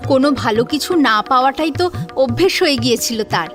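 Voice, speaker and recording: Woman, high voice, story narrator, dramatic scripted reading, light background music. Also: expressive, fairly quick.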